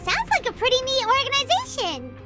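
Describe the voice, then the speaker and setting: A tiny floating fairy sidekick from a video game. The voice is extremely high and squeaky, swinging up and down with childlike excitement, over clear background music. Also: female voice, brisk, exaggerated voice. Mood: curious. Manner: speaks of self in third person, squeaky.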